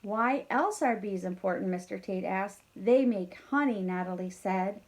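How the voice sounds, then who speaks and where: A woman reading a children's story aloud in an expressive, encouraging way, talking directly to young listeners with casual asides. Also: mid-range voice, a little slow. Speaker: teacher reading a bedtime story to pupils.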